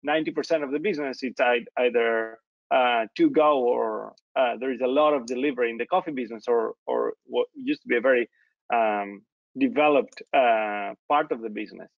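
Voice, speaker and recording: A man from Greece in his thirties hosting an interview over a video call, talking haltingly with many restarts and fillers. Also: mid-range voice, gravelly, dry recording. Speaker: host. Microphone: laptop webcam mic on video call. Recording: dry, dull lo-fi call audio.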